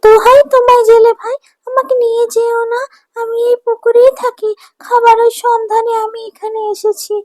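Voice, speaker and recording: A woman voicing a small, helpless talking mother fish in a cartoon, imploring and gentle in her words. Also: very high-pitched, level tone, normal speed, dry recording, studio quality. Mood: begging, frightened. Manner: imploring, repetitive begging. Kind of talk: cartoon dubbing. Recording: music under the voice.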